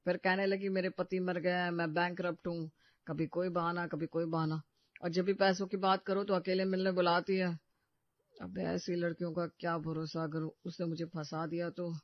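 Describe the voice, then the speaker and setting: High-pitched, glib husband played by a man. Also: little pitch movement, moderate pace, no room sound, muffled recording. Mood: evasive. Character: glib, evasive husband making excuses.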